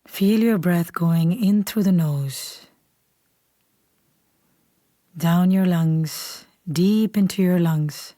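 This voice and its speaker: A woman leading a guided meditation in a calm, unhurried, soothing way, softly and at times almost whispering. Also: lowish voice, no room sound, studio quality. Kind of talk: guided meditation, slow scripted instruction.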